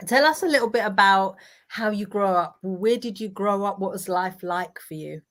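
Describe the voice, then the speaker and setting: A woman's voice: mid-range voice, normal speed, a bit bright. Encouraging woman hosting an interview. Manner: encouraging, frequent affirming murmurs. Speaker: interviewer and host.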